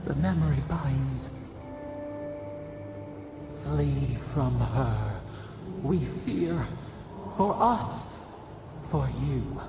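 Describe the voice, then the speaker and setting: An actor voicing an ancient, grieving spirit in a video game, speaking as we in cryptic, halting, solemn phrases with a gravelly voice. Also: mid-range voice, animated, exaggerated voice. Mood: ominous, warning. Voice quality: gravelly, creaking. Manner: slow, cryptic, incantatory, halting short phrases. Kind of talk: solemn dramatic game voice acting. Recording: heavily reverberant echoing voice, music behind.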